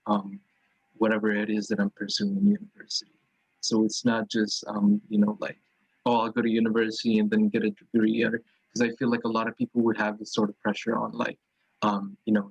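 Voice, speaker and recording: A young man talks in a low, husky, fairly flat voice, stopping often to search for words. The sound is dull and dry, like a video call. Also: dry recording. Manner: hesitant, full of "um" and "you know". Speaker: student panelist. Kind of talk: casual panel discussion.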